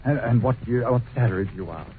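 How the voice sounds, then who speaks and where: An actor playing a jovial, scheming, stout middle-aged employer who flatters and fusses, in an old radio drama on a tinny recording with faint hiss. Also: male voice, medium pitch, quick pace, natural voice. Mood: gushing. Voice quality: effusive, fussy, flattering. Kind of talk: 1940s radio acting.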